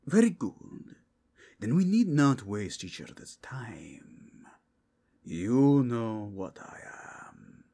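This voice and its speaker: A man voicing a solemn, zealous werewolf cardinal in an ASMR roleplay, speaking formally and deliberately in a breathy, hushed rasp. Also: mid-range voice, very animated, full character voice. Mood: curt, commanding. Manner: formal, deliberate, drawn-out phrasing.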